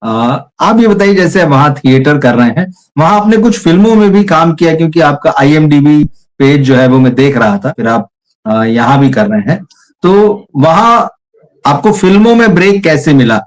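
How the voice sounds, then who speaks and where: A man's voice: fairly high pitch, lively intonation, normal speed, rough recording. A man hosting an interview, asking long rambling questions and nodding along with short acknowledgments. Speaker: interviewer, host.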